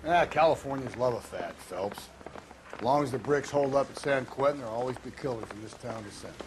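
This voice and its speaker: An actor playing a cynical, world-weary veteran detective in a video game, wry and folksy in manner. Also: male voice, mid-range voice, fairly quick, background noise, close to an everyday voice. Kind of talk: video game cutscene acting.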